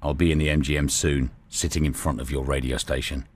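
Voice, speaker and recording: A man's voice: very deep voice, little pitch movement, fairly quick, breathy edge. A man hosting a morning radio show, joking around, often laughing, and reading messages aloud in a radio studio. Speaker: radio host. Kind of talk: casual morning radio banter.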